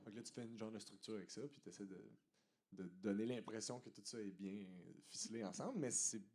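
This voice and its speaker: A man's voice: lowish voice, fast, a little mellow. A man in his late twenties with a Québécois accent, a comedian guest chatting casually, joking and hesitating with fillers. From Quebec. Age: late 20s. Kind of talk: casual chat.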